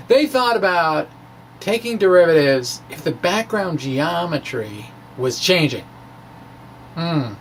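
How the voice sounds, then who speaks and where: A man with a somewhat high-pitched voice talks through technical material like an informal blogger. He is halting and rambling, slipping into colloquial, wry asides. Clear background noise sits behind his voice. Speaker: amateur physics blogger presenting his work. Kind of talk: informal spoken physics explanation, dramatic reading of his blog.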